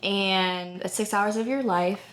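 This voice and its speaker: Brief: young woman, co-host, lively candid chat, average pitch. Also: unhurried, rough recording. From Houston, Texas. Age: young adult. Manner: animated, candid, casual swearing. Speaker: podcast co-host. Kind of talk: casual chat.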